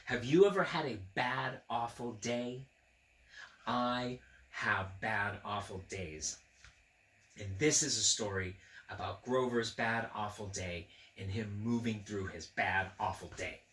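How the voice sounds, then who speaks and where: A man with a bright voice reads a storybook to children at an unhurried pace. He gently rambles into asides and puts questions to his listeners. The room is echoey. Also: lowish voice, recorded from a distance. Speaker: children's story-time reader and host. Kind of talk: read-aloud storytelling with chatty asides to children. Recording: roomy echo, clear background noise.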